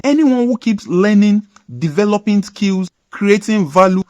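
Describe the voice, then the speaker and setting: Nigerian-accented man in his thirties, scripted commentary host, measured delivery, dry booth sound. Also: on the high side, no room sound. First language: Yoruba. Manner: measured, lecturing, list-like delivery. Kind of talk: scripted opinion monologue.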